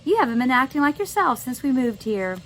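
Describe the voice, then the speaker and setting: Lady doing a worried gentle storybook mom, voice goes up a bit, noisy recording. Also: sits high, very animated, fairly quick, voice pushed up, heavily acted. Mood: concerned. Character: sleepy, worried, gentle mother. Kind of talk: children's novel read-aloud.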